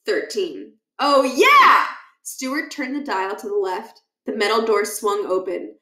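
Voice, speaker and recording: A woman reading a children's book aloud as an expressive storyteller, with animated, deliberate emphasis. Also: mid-range voice. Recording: echoey, roomy sound.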